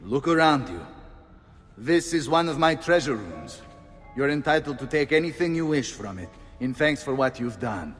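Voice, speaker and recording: A dignified city ruler speaks formally. His pitch rises and falls widely over a strong music score. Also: very animated, normal speed. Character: dignified male Florentine ruler, grateful and proud.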